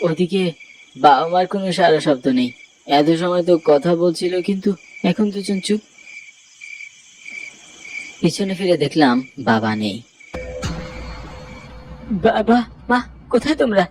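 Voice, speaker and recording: A man voicing a frightened young man in a horror cartoon, telling his tale in a dramatic, bewildered way. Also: sits high. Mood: weary, uneasy, then panicked. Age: young adult. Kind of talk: Bengali horror cartoon narration.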